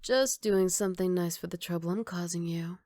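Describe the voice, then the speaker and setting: A woman's voice: on the low side, moderate pace, dark tone, no room sound, natural voice. Woman playing a flirty, cocky villainess, close and dry. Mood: casual, teasing. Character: flirty, cocky female villain teasing a rookie officer. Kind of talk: ASMR roleplay.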